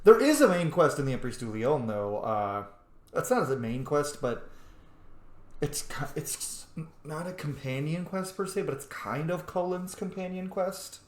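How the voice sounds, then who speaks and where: A man's voice: on the high side, sing-song, normal speed. A man giving casual, rambling, profane commentary as he streams a video game. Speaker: gameplay streamer. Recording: roomy echo, some background noise.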